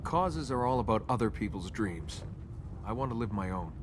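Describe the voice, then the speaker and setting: A man's voice: lowish voice, lively intonation, normal speed, background noise, lightly acted. An actor playing a cocky, wisecracking young male adventurer in a video game, glib and sarcastic in his banter. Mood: wry, casual. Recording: constant game ambience behind the voice.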